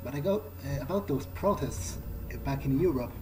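A man's voice: mid-range voice, expressive, a little fast, over music, poor audio. A man musing in character as he plays a video game, speaking in a hesitant, thoughtful way. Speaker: gamer playing in character. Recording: faint background noise.

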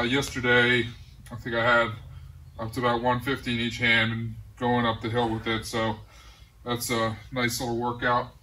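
A middle-aged man casually showing off his garage gym, talking in a relaxed, rambling way with many pauses. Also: medium pitch, distant mic, a little hiss or hum, rough recording. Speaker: home gym owner giving a tour. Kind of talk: casual walk-through tour. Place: large garage home gym.

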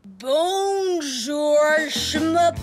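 A slow, halting English exchange boy mangling French; high, sing-song over music. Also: expressive, full character voice.

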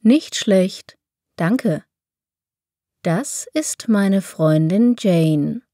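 Woman reading language drills real slow, dry close sound, lines spaced out. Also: mid-range voice, expressive, warm tone, no room sound. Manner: reads every part of the dialogues herself, pausing between phrases. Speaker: German reader for a language lesson.

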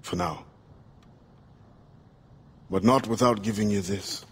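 An actor playing a solemn middle-aged assassin and freedom fighter in a video game, speaking gravely and deliberately in formal phrases. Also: male voice, fairly low pitch. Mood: somber. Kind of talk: cinematic video game acting.

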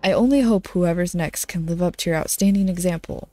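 A congested, nasal-sounding woman voicing a gruff male clan blademaster in a video game, reading his lines in a lowered, measured way. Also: dark tone, slight background noise, natural voice. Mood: formal.

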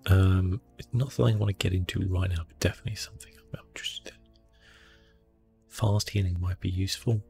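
A man speaks in a low, hushed murmur with a gravelly creak in it. He gives relaxed ASMR gameplay commentary, often slipping toward a whisper, with faint music underneath. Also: fast talker. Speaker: gaming video host.

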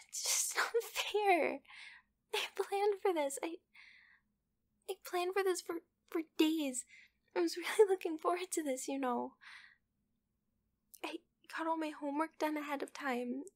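A woman voicing a bratty, clingy, possessive young girlfriend in an ASMR roleplay, pouty and demanding, with a breathy, often whispery delivery. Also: extremely high pitch. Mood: hurt, tearful. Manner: pouty, demanding, halting, with sighs. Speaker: voice actress in a roleplay.

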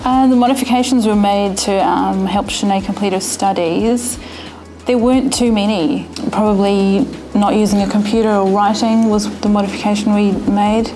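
A woman with a New Zealand accent speaks in a relaxed, plain-spoken way, with the odd "uh" and "um". Music sits clearly under her voice. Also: mid-range voice, normal speed. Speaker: fitness trainer. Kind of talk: interview.

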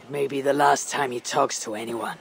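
A man playing a terse, steady warrior. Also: mid-range voice, fairly quick, gravelly, a little hiss or hum, lightly acted. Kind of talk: video game cutscene acting.

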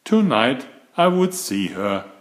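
A man reading a children's picture book aloud, raising his pitch for the girl's lines. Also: very animated, slow.

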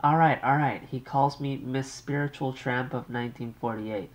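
A man's voice: medium pitch, fairly even intonation, normal speed, slight background noise, pitched above the performer's own voice, natural voice. A man voicing a cool, unruffled young wife. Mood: patient, weary.

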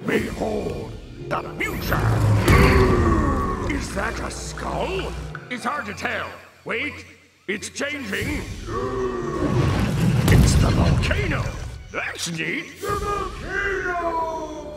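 A man voicing a pompous, bossy male ape villain in a cartoon, haughty and exclamatory, with a gravelly creak. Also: very high voice, very animated, very slow, pauses often, loud music behind the voice, heavily acted. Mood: theatrical. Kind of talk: animated cartoon voice acting.

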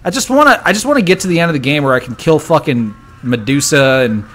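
A man's voice: a little high, fast, slight background noise. A man streaming a video game on a headset mic, joking and swearing in casual chat. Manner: animated, joking, swears freely.

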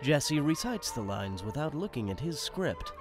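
A man's voice: medium pitch, big pitch swings, normal speed, over music. A man narrating a radio play in the present tense with a conversational storytelling manner.

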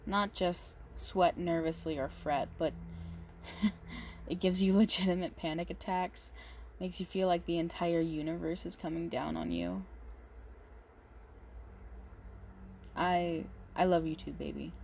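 A woman playing a big, muscular goth girlfriend, possessive and unhinged yet doting, in an intimate, halting ASMR roleplay monologue. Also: fairly low pitch, fairly even intonation, vocal fry, darkish tone, slight background noise. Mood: tender, anxious. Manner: slow, halting, doting, menacing under sweetness.

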